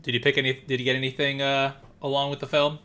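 A man's voice: medium pitch, flat delivery, quick pace, sharp tone, smooth. A man hosting a casual podcast, asking questions with hesitant fillers. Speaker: podcast host.

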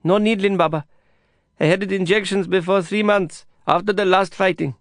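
A man's voice: high voice, fairly even intonation, normal speed, no room sound, clean studio sound, pitched above the performer's own voice. Man doing a polite young patient. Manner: polite, broken English.

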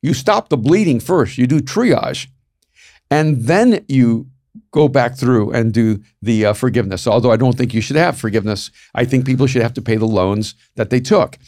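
A man's voice: medium pitch, moderate pace, darkish tone. Emphatic man debating on a panel. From El Salvador. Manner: emphatic, repeats points. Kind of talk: opinionated panel discussion.

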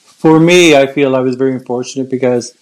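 A man's voice: medium pitch, steady tone, a little fast. An older man who stutters, with repeated words and restarts, speaking in an earnest, reflective way in a casual conversation. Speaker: guest.

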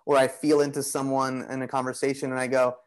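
A man talking as a podcast guest in a casual, relaxed, rambling way, full of "you know" and "like". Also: mid-range voice, fast. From Greek heritage. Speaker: podcast guest, bodywork practitioner. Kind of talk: casual conversational interview.

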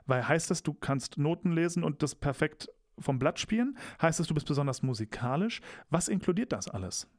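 A man's voice: a little high, lively intonation, normal speed, hardly any pauses, a little mellow, no echo, studio quality. A young man co-hosting a casual chat, enthusiastic and rambling, teasing his co-host. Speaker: podcast co-host.

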